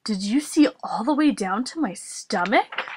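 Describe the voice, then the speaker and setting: A woman's voice: fairly high pitch, animated, a little slow, darkish tone, slight background noise. A woman voicing a naive, literal-minded male hoofed animal in a children's story, puzzled and blurting.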